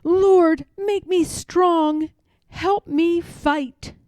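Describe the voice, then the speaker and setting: A woman's voice: very high-pitched, very slow, darkish tone, dry recording, clean studio sound, voice pushed up, full character voice. A woman reading a storybook, voicing a humble, devout and determined enslaved woman in earnest prayer. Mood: pleading, weary. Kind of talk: storybook read-aloud.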